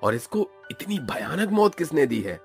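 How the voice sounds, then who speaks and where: A man's voice: a little high, sing-song, normal speed, creaky. A man playing worried villagers in an audio horror story, delivering earnest dialogue. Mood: alarmed. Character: worried village men, workers and headman. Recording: loud music under the voice.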